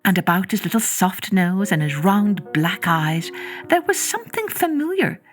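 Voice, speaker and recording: A woman storyteller reading a children's tale expressively, with gentle, drawn-out phrasing and touches of breathy whisper. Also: mid-range voice, very animated, faint music behind.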